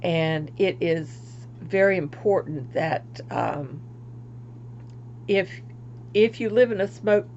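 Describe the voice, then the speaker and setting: An older woman from Florida, chatting casually on her craft livestream, rambling and laughing at herself, often trailing off quietly. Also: on the low side, takes it slowly, pauses often, muffled recording, a little hiss or hum. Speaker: craft vlogger.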